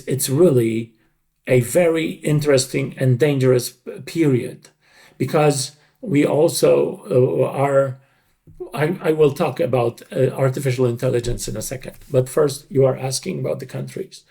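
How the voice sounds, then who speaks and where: A man's voice: mid-range voice, fairly even intonation, a little slow, dark tone. An older man with a tired, untrained voice, explaining his craft emphatically in an interview and repeating words for stress. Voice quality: creaky, untrained, slightly tired voice. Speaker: dubbing director.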